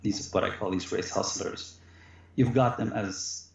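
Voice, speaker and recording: A man, a guest professor, speaking in a measured, deliberate, earnest way over a remote call. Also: lowish voice, fairly even intonation, gravelly. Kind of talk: interview conversation. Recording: echoey, dull lo-fi remote call audio.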